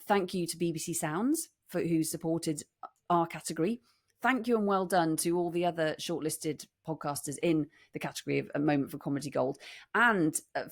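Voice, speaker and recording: A middle-aged British woman with a slightly husky voice, chatting casually and jokily as a rambling podcast co-host. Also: deep voice. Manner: jokey, rambling. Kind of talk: casual chat with thank-yous.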